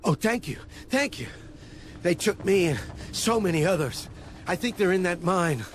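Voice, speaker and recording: A man's voice: high voice, expressive, moderate pace, a little hiss or hum. A man playing a shaken, grateful rescued captive in a video game cutscene. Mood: relieved, shaken.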